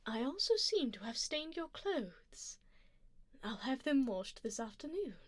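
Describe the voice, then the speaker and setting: A woman playing an aristocratic, predatory yet tender vampire duchess in an ASMR roleplay, speaking softly and formally and slipping into whispers. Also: sits high, sing-song, unhurried, no echo, heavily acted. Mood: poised, doting. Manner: refined, formal, gently commanding.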